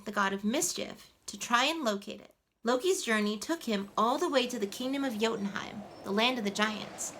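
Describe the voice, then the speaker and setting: A woman playing a sweet, playful mermaid who tells stories to children in an animated, coaxing way. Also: on the high side. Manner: animated, coaxing, asks listeners questions. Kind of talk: children's storytelling, addressed to the audience. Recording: faint background ambient noise.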